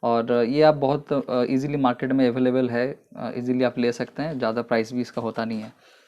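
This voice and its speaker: Man, product review vlog, monotone delivery, unhurried with fillers, dull lo-fi recording. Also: medium pitch. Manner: explanatory, unhurried, many fillers.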